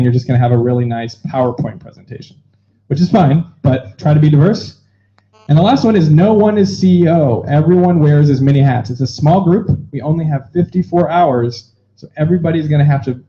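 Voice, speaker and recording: A man's voice: fairly high pitch, mellow. A man facilitating an event, explaining the rules to an audience in an upbeat, joking way. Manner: upbeat, joking, quick asides. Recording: dull lo-fi sound.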